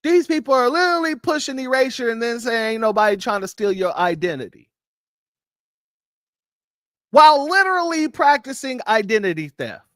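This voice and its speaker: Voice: medium pitch, animated, moderate pace, a bit bright, no echo, clean studio sound. A host reacting to a clip on a livestream, speaking in a heated, emphatic way. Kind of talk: livestream commentary.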